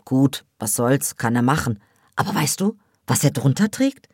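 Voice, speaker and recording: A woman's voice: a bass voice, big pitch swings, moderate pace, a little mellow, slightly rough, dry recording, studio quality, exaggerated voice. Woman voicing a scornful teenage girl, mocking. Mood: mocking gossip. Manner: mocking, gossipy, scolding. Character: scornful teenage girl, sharp-tongued smoker.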